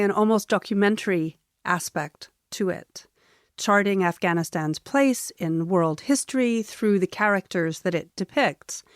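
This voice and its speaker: A woman journalist hosting a literary interview, putting carefully phrased, articulate questions in a measured way. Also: medium pitch, no room sound, studio quality. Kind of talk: literary interview before an audience or camera.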